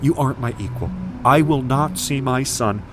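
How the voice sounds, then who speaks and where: A man's voice: mid-range voice, moderate pace, close to an everyday voice. An actor playing a cold, domineering middle-aged father who lectures with contempt in an audio drama. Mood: cold, stern. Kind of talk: dramatic audio fiction dialogue. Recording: faint car and outdoor noise.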